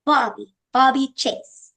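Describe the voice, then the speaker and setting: A woman's voice: high-pitched, slow, pushed and bright, full character voice. A woman playing a sweet, eager little girl who misses her father, in a script table read. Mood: shy, curious.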